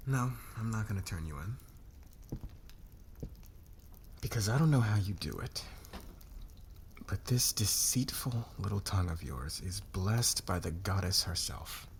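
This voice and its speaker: A man playing a demanding, smug corporate boss in an intimate ASMR roleplay, probing and deliberate, with a threatening manner. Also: fairly low pitch, expressive, slight background noise. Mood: grudging admiration. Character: dominant, demanding male corporate boss, smug and calculating interrogator.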